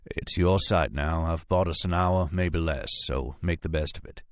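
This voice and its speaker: Man playing a low-voiced, commanding Southern agent. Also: moderate pace, no echo, close to an everyday voice. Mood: brisk, low. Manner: courteous, turning sharp and officious. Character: smooth, commanding Southern FBI agent, coolly manipulative.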